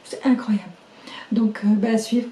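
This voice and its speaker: A middle-aged woman recording a casual video diary, chatty and halting with many fillers, her voice slightly hoarse as she loses it. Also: medium pitch, fairly even intonation, mellow. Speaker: vlogger keeping a video diary. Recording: echoey room.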